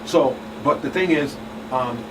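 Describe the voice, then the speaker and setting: A man's voice: mid-range voice, measured pace. A man speaking informally and a little hesitantly as a panelist in a discussion. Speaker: talk show panelist. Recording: distant mic, air conditioning hum.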